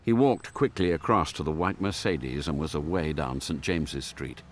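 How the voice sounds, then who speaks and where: A man's voice: a bass voice, expressive, normal speed, slight background noise. A man reading scripted narration for a radio drama.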